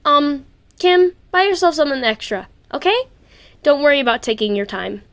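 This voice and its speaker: A woman's voice: sits high, expressive, normal speed, a bit bright, dry recording, pitched above the performer's own voice. A woman voicing a girl's casual, slightly awkward brother in an amateur story reading, offhand and hesitant. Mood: awkward, worried. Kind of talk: amateur audiobook character voice.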